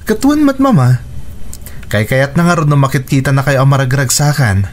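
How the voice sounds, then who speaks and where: An actor playing a dutiful young adult son in a radio drama, speaking in a caring, reassuring manner. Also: male voice, medium pitch, lively intonation, moderate pace, close to an everyday voice. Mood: caring, coaxing. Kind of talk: Ilocano radio drama acting.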